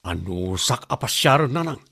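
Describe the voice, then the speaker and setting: Excitable bachelor son, Ilocano accent, comic radio drama, widely swinging pitch, simple-minded suitor. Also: male voice, a little slow, creaky, breathy edge, exaggerated voice. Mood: bashful, excited.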